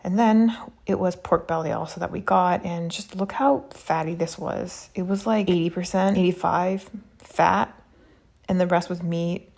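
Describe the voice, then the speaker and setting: A woman's voice: lowish voice, fairly even intonation, moderate pace, creaky, darkish tone. A woman narrating a travel vlog in a casual, chatty and candid, understated way. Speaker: travel vlogger. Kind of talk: casual vlog voice-over reviewing an experience.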